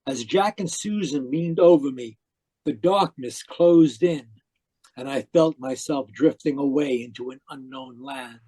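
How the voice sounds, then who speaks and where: An actor playing an obsessive, domineering uncle in an old-style radio mystery, with theatrical, emphatic delivery. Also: male voice, mid-range voice, measured pace, warm tone, breathy edge. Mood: grave, haunted narration. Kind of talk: 1940s radio mystery acting, narrating and dialogue. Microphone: video call. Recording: muffled-edged online video call.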